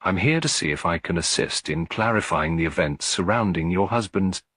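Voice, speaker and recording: A man voicing a polite, gentle, inquisitive lady detective in an audiobook, deferential and softly probing. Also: deep voice, expressive, normal speed, dry recording. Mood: polite, hesitant. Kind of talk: audiobook character dialogue.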